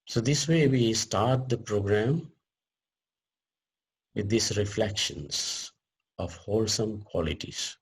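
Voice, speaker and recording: A man leading a guided meditation as a teacher, speaking deliberately in short phrases with pauses between them. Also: fairly low pitch, slightly rough.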